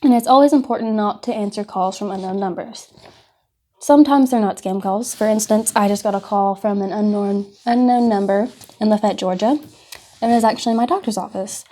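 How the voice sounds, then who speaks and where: A woman speaks with a soft-edged, mellow voice at an average pitch. She presents like an informal class instructor, explaining things conversationally and offering words of caution. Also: fairly even intonation, brisk. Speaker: presenter of a scam awareness class.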